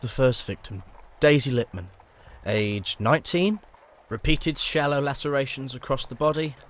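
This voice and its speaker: A man's voice: medium pitch, moderate pace, a bit bright, natural voice. Man playing a commanding British police inspector. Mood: grim. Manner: commanding, grim. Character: exasperated, authoritative Victorian police chief inspector. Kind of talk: radio drama acting.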